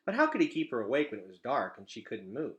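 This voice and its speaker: Bright, animated man reading a story aloud. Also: mid-range voice, quick pace. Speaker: read-aloud reader. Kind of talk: expressive children's storybook narration.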